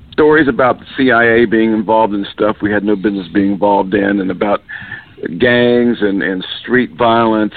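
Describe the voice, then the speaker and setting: Raspy middle-aged man, low gravelly voice, tinny phone line, dry sound, rambling interview talk. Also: no room sound. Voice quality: raspy voice after illness. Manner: relaxed, rambling, many fillers. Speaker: musician guest.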